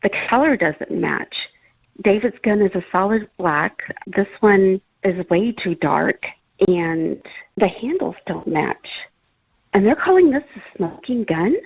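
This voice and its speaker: Woman doing a radio drama lady detective, earnest, husky and unhurried, muffled sound. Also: mid-range voice, animated, exaggerated voice. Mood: emphatic, convinced. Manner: slow, emphatic. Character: earnest female investigator questioning suspect evidence. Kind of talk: old time radio detective drama acting.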